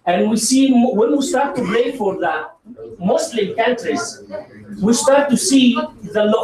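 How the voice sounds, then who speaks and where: Evangelist man in an echoey hall. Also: extremely high pitch. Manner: halting, many fillers and restarts. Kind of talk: conference testimony. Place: large conference hall. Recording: roomy hall with crowd, distant mic.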